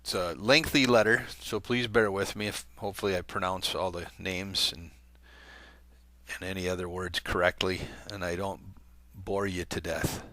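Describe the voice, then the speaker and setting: A man's voice: low-pitched, fairly even intonation, normal speed, sharp tone, dry recording. A man hosting an online talk channel, reading a long letter aloud to his viewers in a conversational way, with fillers and small self-corrections. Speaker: video channel host.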